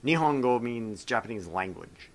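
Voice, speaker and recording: A man's voice: medium pitch, lively intonation, normal speed. A man hosting a casual visual novel playthrough, reading text aloud and joking around in a goofy way. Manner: goofy, jokey, switches between reading and banter. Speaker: gaming show host and reader.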